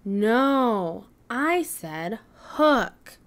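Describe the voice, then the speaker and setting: A woman's voice: fairly high pitch, sing-song, extremely slow, heavily acted. A woman voicing a helpful young boy stegosaurus in a storybook, stressing key words as he corrects his friend. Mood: correcting.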